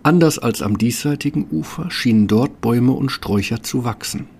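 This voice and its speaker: A man's voice: medium pitch, normal speed, gravelly, darkish tone, husky. A man narrating a fairy-tale radio play in a scripted storytelling style.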